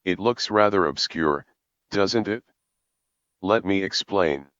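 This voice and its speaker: A man with a low, resonant voice narrates a tutorial in measured, formal sentences. The recording is dry and close, with a slightly dull top end. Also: a bit bright, dry recording. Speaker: programming tutorial presenter.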